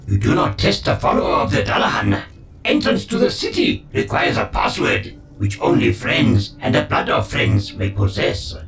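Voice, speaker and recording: A man's voice: on the low side, wildly swooping pitch, moderate pace, creaky, a bit bright, recorded from a distance, faint music behind, exaggerated voice. A man voicing a pious machine healer in a video game, formal and preachy, scornful of creatures of flesh. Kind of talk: scripted video game voice acting.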